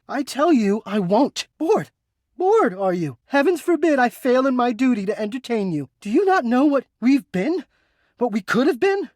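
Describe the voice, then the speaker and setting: A man voicing an anxious, melodramatic, yearning male game character who hides away, with florid, halting, theatrical speech. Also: very high-pitched, darkish tone, no echo, studio quality, exaggerated voice. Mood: bitter, frightened. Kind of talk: video game character acting.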